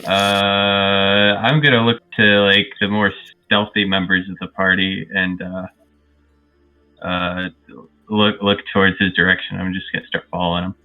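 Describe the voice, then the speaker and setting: A man's voice: sits low, level tone, measured pace, narrow, tinny audio, soft background music. A man speaking casually as a player in a tabletop roleplaying game. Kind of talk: casual chat.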